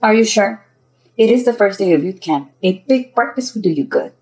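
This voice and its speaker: A bustling mom in a read-aloud story, as a woman narrator plays her, pitched well up. She nags and exclaims, dropping Spanish into her English. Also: expressive, normal speed, a little mellow, close to an everyday voice, poor audio. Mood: caring, insistent. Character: bustling, scolding Mexican-American mother of a teenage girl.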